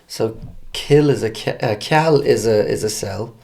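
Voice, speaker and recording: An Irish man co-hosting a casual bilingual chat, speaking haltingly as he repeats phrases and explains words. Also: on the low side, vocal fry, darkish tone. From Ireland. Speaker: podcast co-host.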